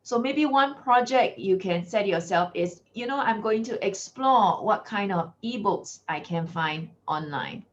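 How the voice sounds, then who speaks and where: A woman from Singapore with a Singaporean English accent, giving an online lecture in a clear, measured teacherly way. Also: mid-range voice, expressive. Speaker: guest lecturer. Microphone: video call. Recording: lo-fi video call audio.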